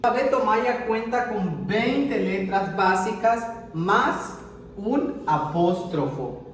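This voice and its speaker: A young man giving an online video lesson in a slow, careful and upbeat way. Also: high-pitched, animated, slight background noise. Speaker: online language teacher. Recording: echoey room.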